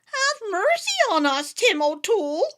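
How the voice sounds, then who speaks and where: A woman voicing a sly little fairy leader, a small man with a high, sing-song voice. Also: very animated, measured pace, a bit bright, a little hiss or hum, heavily acted. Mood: coaxing.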